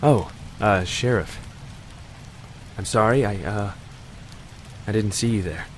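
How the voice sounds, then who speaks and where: A man playing a mournful, resigned widower in a noir fairy-tale game, speaking in a halting, hesitant way. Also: on the low side, a little hiss or hum. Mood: sad, resigned.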